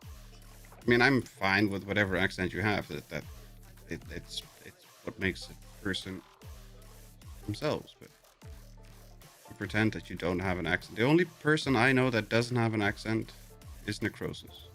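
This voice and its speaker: A man's voice: lowish voice, unhurried, long pauses, a bit bright, soft background music. A middle-aged man with a New York accent, chatting casually as he streams his gameplay, often stumbling and repeating his words.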